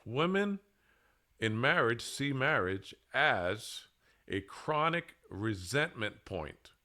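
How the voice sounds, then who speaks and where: A man presenting a scripted monologue in a deliberate, halting way, with emphatic pauses between short phrases. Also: medium pitch, big pitch swings, pushed and bright. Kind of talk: scripted monologue, public speaking.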